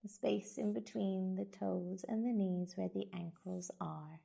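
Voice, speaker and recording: A woman guiding a body scan meditation as a yoga teacher, giving gentle, soothing instructions with long pauses. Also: mid-range voice, fairly even intonation, vocal fry, husky, dull audio.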